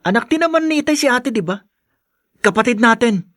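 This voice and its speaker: An actor playing an earnest young brother in a Filipino audio drama, serious and reproachful. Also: male voice, very high-pitched, lively intonation, a little fast, a bit bright, dry recording, studio quality. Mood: pensive. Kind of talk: Filipino podcast radio drama acting.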